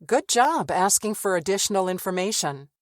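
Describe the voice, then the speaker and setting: A woman's voice: medium pitch, lively intonation, normal speed, dry recording, studio quality, close to an everyday voice. A woman playing a training coach, giving scripted instructions in a didactic manner. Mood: instructive. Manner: clear, didactic.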